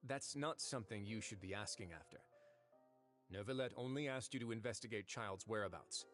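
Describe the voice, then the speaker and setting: Man acting low-voiced, composed, wry prison warden. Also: a bit bright, soft background music, close to an everyday voice. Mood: wry, guarded. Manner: measured, formal, dryly teasing. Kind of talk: scripted video game dialogue.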